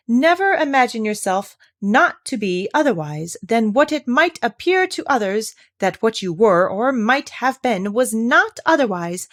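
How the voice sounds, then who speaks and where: A woman puts on an older storybook Duchess, pushy and eager to agree. The voice lifts high and creaks as it hands out morals in an emphatic, pompous singsong. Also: heavily acted.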